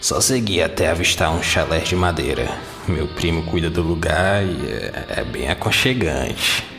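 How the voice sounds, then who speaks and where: Man playing a creepy, very deep gravelly stranger, Brazilian. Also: animated, a little slow, full character voice. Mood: eerily polite. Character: creepy smiling strange man giving directions to lodging. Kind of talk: horror audio drama acting. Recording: long hall reverb over music.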